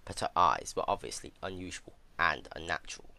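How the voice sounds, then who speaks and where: A man giving a dramatic scripted narration of a dark fantasy story. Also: deep voice, expressive, a little fast, vocal fry.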